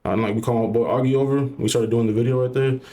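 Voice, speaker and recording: A man's voice: medium pitch, steady tone, rapid-fire, dark tone. A young man from Southern California, a rapper talking casually as a podcast guest, laid-back and full of slang and fillers.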